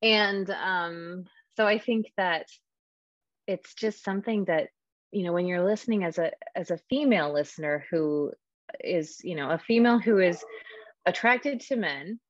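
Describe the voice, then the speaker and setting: A woman's voice: fairly low pitch, measured pace, dry recording, dull audio. A woman talking casually in an interview about casting audiobook narrators, thinking aloud with fillers.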